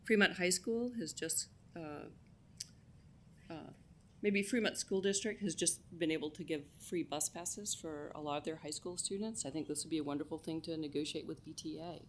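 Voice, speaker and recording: A woman's voice: low voice, normal speed, slight background noise. A middle-aged woman giving an enthusiastic public comment at a city council meeting, chatty with lots of "um"s. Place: council chamber.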